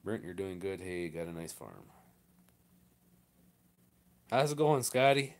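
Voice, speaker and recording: Low-voiced Midwestern farmer man chatting. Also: sing-song, unhurried. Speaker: farmer hosting a live stream. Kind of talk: casual chat, answering viewer comments.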